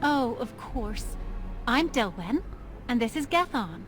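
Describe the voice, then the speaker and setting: Older elf lady, kindly and sensible, highish voice, soft music behind, fantasy game. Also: very animated, takes it slowly, exaggerated voice. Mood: warm, kindly. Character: warm older elf woman, sensible family friend. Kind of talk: fantasy video game dialogue acting.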